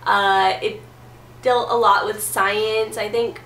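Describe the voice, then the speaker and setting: A woman's voice: mid-range voice, flat delivery, takes it slowly, fairly bright tone, far from the mic. A young woman in her twenties talks casually from her bedroom, her voice stuffy from a cold. She is recorded by a camera mic set some way off, so the sound is roomy with a faint hiss. Her talk is chatty and full of "like" and "um". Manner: chatty, lots of "like" and "um". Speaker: book vlogger. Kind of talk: casual chat. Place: bedroom, indoors. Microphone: camera mic at a distance.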